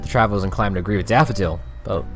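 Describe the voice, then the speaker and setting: A man's voice: lowish voice, racing, soft background music. A man hosting a gaming channel, reading visual novel narration aloud and commenting in an informal, unassuming way.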